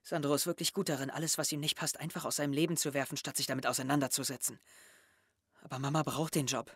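A man's voice: mid-range voice, steady tone, fast talker, fairly bright tone, breathy edge. A young man playing a brooding, suspicious teenage schoolboy in a German audio drama, with a hesitant, introspective manner. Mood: resigned. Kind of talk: naturalistic audio drama dialogue and monologue.

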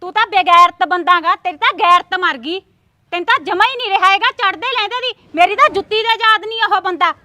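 A woman acting a sharp-tongued village wife who scolds with biting, quick retorts. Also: very high voice, no echo, studio quality. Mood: scolding. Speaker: actor in a family quarrel skit.

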